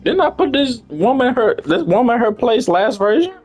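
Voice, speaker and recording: A man's voice: extremely high pitch, very animated, moderate pace, a little mellow, faint music behind. A man giving casual, slangy live commentary as he plays a game, swearing freely. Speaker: game streamer reacting while playing.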